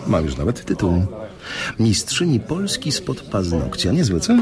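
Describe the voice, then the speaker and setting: A man's voice: lowish voice, huge pitch swings, unhurried, crowd noise. An actor playing a smug, needling male journalist in a radio drama, with a mocking, insinuating manner. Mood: smug menace. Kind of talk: Polish radio drama acting.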